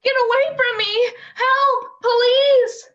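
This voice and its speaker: A woman's voice: very high-pitched, steady tone, takes it slowly, fairly bright tone, full character voice. A woman playing an anxious, tender young wife in an amateur radio play, recorded over a video call. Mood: frightened. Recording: muffled video-call audio.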